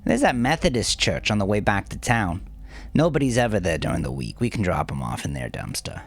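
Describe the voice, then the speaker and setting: A man voicing a tense, hot-tempered younger criminal who speaks in profane, rough language. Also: on the low side, sing-song, voice pushed up. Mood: matter-of-fact. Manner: snarling, profane, halting when explaining.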